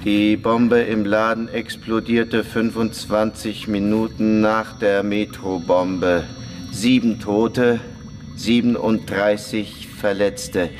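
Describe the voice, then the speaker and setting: A remorseless, simple-minded prisoner in a radio drama. He is deadpan and halting, in a lowish, slow voice over clearly audible music. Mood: deadpan confessing. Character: simple, matter-of-fact male prisoner, remorseless village bomber. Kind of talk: German radio play acting.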